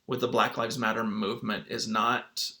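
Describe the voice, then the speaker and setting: A man's voice: on the low side, flat delivery, moderate pace, bright, forceful tone. A man speaking as a teacher to his students, in an informal read-aloud lesson style.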